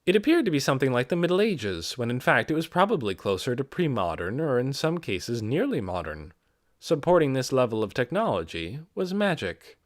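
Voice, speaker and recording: A man reading a fantasy novel aloud as scripted audiobook narration, with expressive storytelling delivery. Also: medium pitch, fairly quick, no echo, studio quality. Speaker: amateur audiobook reader.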